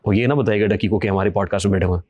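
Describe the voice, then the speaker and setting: A man's voice: on the low side, very fast, highs cut off. A man speaking casually as a podcast host. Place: podcast studio.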